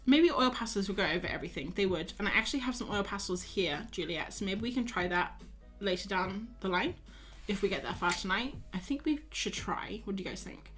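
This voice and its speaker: A woman's voice: mid-range voice, animated, brisk, fairly bright tone, faint music behind. A young woman, tired and losing her voice, chatting casually with viewers as she paints on a live stream. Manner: chatty, self-mocking, talks to viewers while drawing. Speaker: art streamer.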